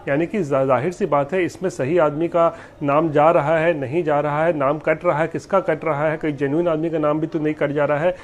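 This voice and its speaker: Higher-voiced male news reporter. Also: fairly even intonation, fairly quick, few pauses. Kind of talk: live news commentary.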